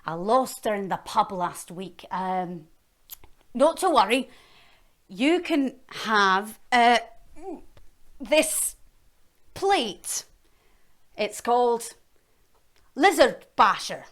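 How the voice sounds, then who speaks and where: A woman's voice: on the high side, animated, extremely slow, long pauses, a bit bright, full character voice. A woman voicing a forgetful old king in a told story. He rambles and boasts. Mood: blustering. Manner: rambling, boastful then sheepish. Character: bumbling forgetful old king, a doting father.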